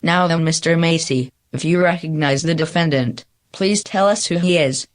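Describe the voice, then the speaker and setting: A woman's voice: low-pitched, normal speed, lightly acted. A woman playing an earnest, idealistic male lawyer in a radio play. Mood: composed, probing.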